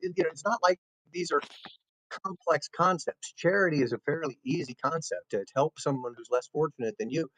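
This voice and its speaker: A man's voice: fairly high pitch, normal speed, fairly bright tone, no room sound, rough recording. A man hosting an interview, asking questions in an effusive, complimentary way. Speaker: show host, interviewer.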